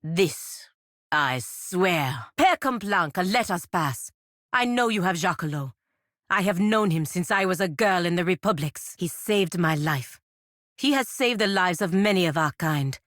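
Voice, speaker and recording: A proud warrior woman for a fantasy video game, speaks in a fairly low voice. She is stern and fiercely loyal, choosing her words with formal, deliberate care. The sound is very dry, with no room around it. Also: animated, a bit bright, no room sound. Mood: firm, formal. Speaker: game character voice actor. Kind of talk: scripted fantasy game dialogue.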